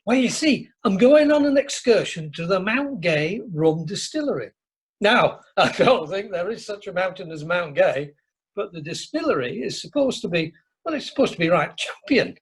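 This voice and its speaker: A man's voice: sits high, big pitch swings, moderate pace, breathy edge, full character voice. A man playing a jovial, bluff older husband with a Northern English accent in an amateur radio comedy. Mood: jovial, teasing. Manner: chuckling, blustering, colloquial. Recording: online meeting recording.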